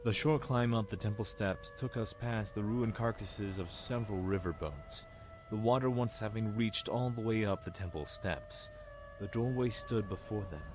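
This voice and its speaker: A man's voice: on the low side, natural voice. A man acting a wry, reflective Egyptian prince who narrates his past in an audio drama. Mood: ominous, hushed. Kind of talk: scripted first-person narration. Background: music.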